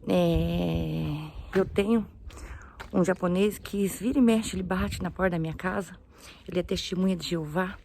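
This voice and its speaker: Woman, low voice, earnest, halting speech, outdoors, faint background noise. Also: gravelly, mellow. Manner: earnest, halting, many restarts and fillers. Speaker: missionary. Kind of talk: casual religious vlog talk. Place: outdoors, walking past houses and ruins.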